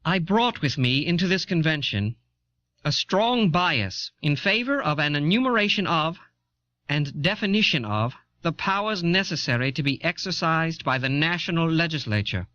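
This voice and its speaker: An actor playing an earnest 18th-century American statesman in formal, deliberate, oratorical speech for an audio documentary. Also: fairly high pitch, lively intonation, bright, forceful tone, dry recording. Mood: earnest, reserved. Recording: dull old audio cassette.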